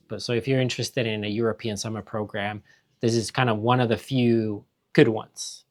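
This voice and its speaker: A man from Finland hosts a family vlog with his partner. He talks in relaxed, rambling chat full of fillers and asides, at an easy pace. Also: mid-range voice. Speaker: vlog host. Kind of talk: casual chat.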